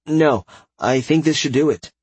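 A man's voice: a little high, measured pace, a little mellow, slightly rough, no room sound, voice pushed up. A man voicing a casual, easily startled fantasy marshal in an audiobook. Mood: pleasant. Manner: casual, informal. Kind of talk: audiobook character voice.

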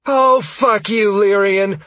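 Man acting fiery female adventurer, higher pitch, sarcastic, sharp, exasperated, fantasy audiobook. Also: takes it slowly, slightly rough, no echo, exaggerated voice. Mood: furious.

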